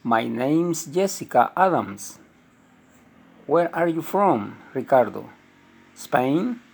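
A man's voice: on the high side, lively intonation, slow, vocal fry, breathy edge, full character voice. A man reading the part of a teenage American girl in a textbook dialogue, in his own plain voice. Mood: friendly.

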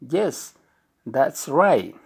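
A man's voice: medium pitch, very animated, very slow, creaky, a little mellow, husky, heavily acted. A man reading the part of a teenage American girl in a textbook dialogue, in his own plain voice. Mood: friendly.

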